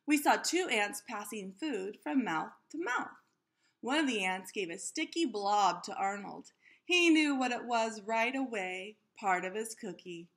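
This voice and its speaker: A woman reading a children's story aloud like a teacher, animatedly, pausing to question and explain things to young listeners. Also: medium pitch, expressive.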